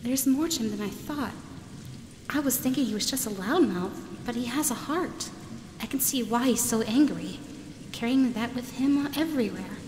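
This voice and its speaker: A woman's voice: a little high, animated, moderate pace, darkish tone, a little hiss or hum, lightly acted. A woman with an American accent playing a sad, sympathetic young woman in an amateur radio play. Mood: gentle, wistful.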